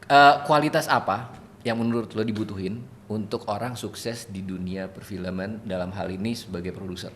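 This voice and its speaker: A man's voice: fairly low pitch, normal speed, slight background noise. A man hosting a casual interview with a quiz game, playful and laughing often. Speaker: host.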